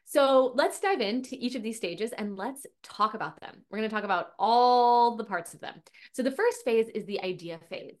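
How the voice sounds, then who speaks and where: A woman's voice: fairly high pitch, lively intonation, moderate pace, few pauses, darkish tone. Upbeat woman podcast host, a bit nasal. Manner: chatty and upbeat, many fillers and restarts. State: getting over a cold, a bit nasal. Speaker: podcast host and business coach for piano teachers. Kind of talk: casual solo podcast talk.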